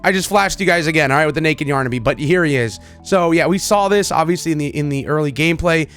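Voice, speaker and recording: A man giving casual, excitable reaction commentary as a gaming video host, with slangy, repetitive chat. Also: a little high, fast. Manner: chatty, excitable, slangy, repeats himself. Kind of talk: casual reaction commentary to game images and clips. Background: background music.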